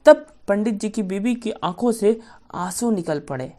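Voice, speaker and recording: A woman's voice: medium pitch, animated, a little slow, dark tone. A woman storyteller narrating a bedtime folk tale for children.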